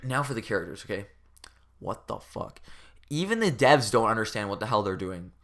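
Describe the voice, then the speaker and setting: Exasperated man ranting, bright forceful voice. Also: fairly low pitch, expressive, fairly quick. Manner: exasperated, rambling, informal, profane. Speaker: video game reviewer. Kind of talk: casual comedic rant review.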